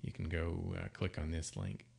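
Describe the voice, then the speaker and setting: A man with a low-pitched, gravelly voice presents products in an informal, unscripted demonstration. He is plain-spoken and explains things step by step, with a halting flow. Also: lively intonation, a little mellow. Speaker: product presenter for an intercom seller.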